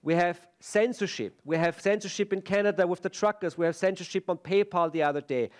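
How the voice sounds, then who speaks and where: A man's voice: sits high, brisk. A middle-aged man with an Austrian German accent, a native German speaker, giving a chatty, self-deprecating conference talk on a stage, full of fillers and restarts.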